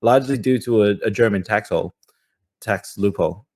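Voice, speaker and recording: Low-voiced young man chatting casually. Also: fairly quick, a little mellow. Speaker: podcast host. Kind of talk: casual podcast chat.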